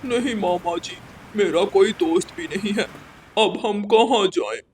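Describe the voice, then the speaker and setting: A lazy young crow pleads sheepishly in a very high, thin voice, as a man acts him out. The cartoon voice is faintly hissy. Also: measured pace. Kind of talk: cartoon voice acting.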